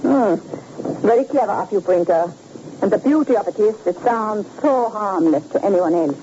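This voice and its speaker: A woman playing a skeptical, measured female accomplice of a crime boss in an old radio drama. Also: mid-range voice, expressive, close to an everyday voice. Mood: dry, skeptical. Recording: muffled old radio recording, faint noise.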